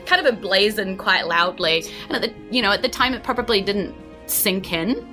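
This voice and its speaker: A woman, improvising narration as game master of a tabletop game podcast in a chatty, excitable, emphatic way. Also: medium pitch, normal speed, soft background music. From New Zealand.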